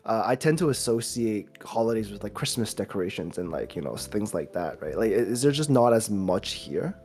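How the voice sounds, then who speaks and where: A man's voice: fairly low pitch, very animated, brisk, darkish tone. Chatty young man co-hosting a podcast. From Taiwan. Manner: chatty, with many likes and restarts. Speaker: podcast co-host. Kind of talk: casual chat.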